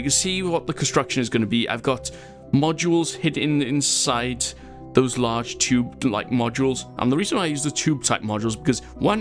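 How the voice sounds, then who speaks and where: A Welsh man hosting a game video, chatting casually and poking fun at himself as he plays. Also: medium pitch. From Wales. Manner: chatty and self-mocking, rambling asides, frequent laughs. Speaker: gaming channel host. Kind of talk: casual gameplay commentary.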